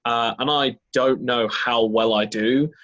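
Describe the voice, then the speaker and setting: A man's voice: medium pitch, fairly even intonation, measured pace, a bit bright, dull audio. Man rambling about running games. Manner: rambling, many fillers and restarts. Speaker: game master. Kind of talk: casual podcast chat.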